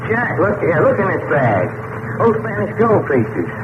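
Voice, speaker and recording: An actor playing an excitable, folksy adventurer sidekick in a 1940s radio serial. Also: male voice, high-pitched, measured pace, full character voice. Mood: eager. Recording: echoey hissy lo-fi old radio transcription.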